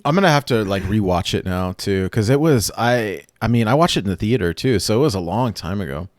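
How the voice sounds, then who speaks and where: A man's voice: fairly low pitch, expressive, brisk, no room sound, clean studio sound. A man hosting a casual podcast, talking in a laid-back way with lots of "like" and "you know" and easy chuckles. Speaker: podcast host.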